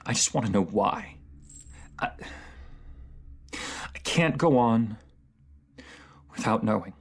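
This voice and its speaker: An actor as a bitter fantasy ruler, mid-pitched, theatrical, wounded, halting, pleading, intimate audio drama monologue. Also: expressive, slightly rough, heavily acted. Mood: hurt, pleading. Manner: theatrical, halting, pleading, addresses one listener directly.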